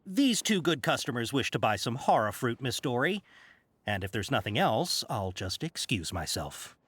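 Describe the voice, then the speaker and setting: A highly animated, obliging trader. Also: male voice, moderate pace, slightly rough, no echo. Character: courteous, jittery male informant posing as fruit trader. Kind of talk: video game voice acting.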